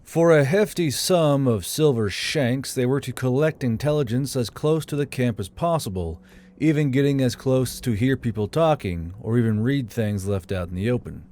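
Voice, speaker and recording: A man's voice: medium pitch, lively intonation, normal speed. A man reading a scripted fantasy story aloud as an audiobook narrator. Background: soft background music.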